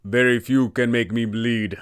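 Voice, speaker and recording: A man's voice: mid-range voice, steady tone, measured pace, clear, resonant tone, dry recording, clean studio sound, lightly acted. A man voicing a brutish, imposing pirate captain for a tabletop game, with a commanding, threatening manner. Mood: menacing boast.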